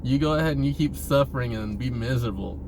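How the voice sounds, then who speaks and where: A man's voice: medium pitch, fast. A man giving a casual, rambling personal monologue in an informal, profane way, chuckling now and then. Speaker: vlogger talking about himself. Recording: clear vehicle-like ambient noise.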